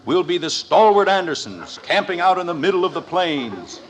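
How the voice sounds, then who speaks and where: A man's voice: high-pitched, sing-song, moderate pace. An American actor playing an exasperated, blustering middle-aged father in a 1950s radio sitcom. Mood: persuasive, enthusiastic. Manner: blustery, oratorical. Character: exasperated, blustering suburban father who talks up adventure. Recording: old noisy radio recording.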